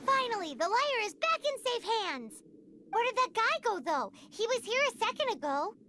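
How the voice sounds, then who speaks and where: A woman voicing a tiny, cheeky, excitable childlike fairy companion in a video game, with a teasing way of talking. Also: extremely high pitch, normal speed, background noise. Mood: chirpy, curious. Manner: talks about self in third person, teasing.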